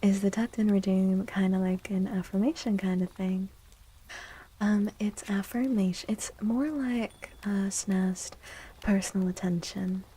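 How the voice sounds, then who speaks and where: A woman speaking softly and gently in a breathy, soothing ASMR style, often slipping into a whisper as she chats with stream viewers. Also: mid-range voice, steady tone, unhurried, rough recording. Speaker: livestreamer hosting an ASMR stream. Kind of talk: soft-spoken ASMR, chatting with viewers. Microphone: close microphone.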